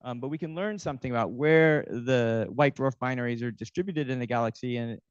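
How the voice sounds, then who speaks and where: A man's voice: mid-range voice, a little fast, clear, resonant tone, no echo. A man giving a workshop lecture, hesitant with many ums and restarts, while losing his voice. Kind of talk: academic workshop talk. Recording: dull, muffled lecture audio.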